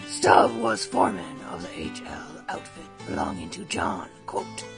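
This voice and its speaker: A woman's voice: deep voice, wildly swooping pitch, measured pace, creaky. A woman reading a western story aloud in a casual, chatty way, breaking off to comment on the text, with music behind. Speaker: storytime host.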